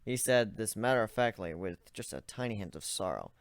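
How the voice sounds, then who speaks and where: A man narrating an amateur dramatic reading of a story in plain, steady storytelling. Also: fairly low pitch, brisk, clear, resonant tone, no echo, studio quality.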